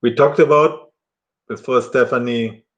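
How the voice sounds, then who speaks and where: A middle-aged German man talking casually to camera in halting, self-correcting English with a German accent. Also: mid-range voice, fairly even intonation, highs cut off.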